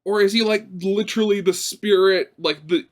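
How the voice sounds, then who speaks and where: A man's voice: sits high, moderate pace. A young man hosting a reaction video, chatting casually and rambling with lots of fillers and restarts.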